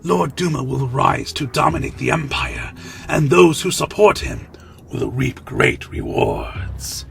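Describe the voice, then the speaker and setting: Man playing a gravelly, scheming vampire lieutenant. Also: fairly high pitch, wildly swooping pitch, slow pace, faint music behind, full character voice. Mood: gloating. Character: scheming male vampire lieutenant, ambitious, persuasive, condescending. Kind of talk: fan audio drama acting.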